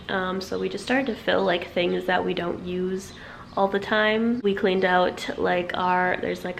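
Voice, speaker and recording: A young woman from the with a stuffy, raspy voice from a cold, chatting casually to camera at home with lots of fillers. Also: medium pitch, slight background noise. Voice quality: raspy from illness. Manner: chatty, rambling with many fillers. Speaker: vlogger. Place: apartment at home, indoors.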